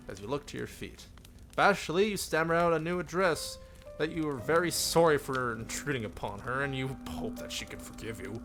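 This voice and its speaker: A man's voice: fairly high pitch, animated, normal speed, fairly bright tone, soft background music. A man reading a visual novel aloud on a live stream as narrator, in a theatrical storybook delivery.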